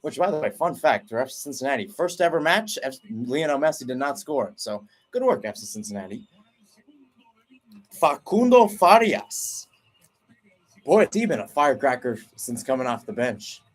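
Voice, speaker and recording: A young man doing excited play-by-play commentary of a soccer penalty shootout, with his pitch and volume leaping at each kick. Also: normal speed. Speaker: radio play-by-play announcer. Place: at home, not at the stadium.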